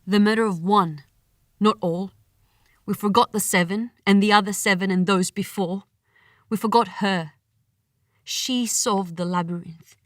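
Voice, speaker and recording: An actress playing a solemn chorus narrator in a poetic tragedy, speaking in measured, emphatic short phrases. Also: female voice, mid-range voice, no echo, clean studio sound, natural voice. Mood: solemn, grave. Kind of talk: poetic scripted narration, audio drama.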